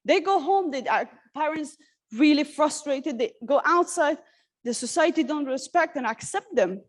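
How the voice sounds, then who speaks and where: A woman from Syria giving an earnest, halting personal talk at a workshop, with many restarts and fillers. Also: high voice, lively intonation. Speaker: conference speaker. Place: conference hall.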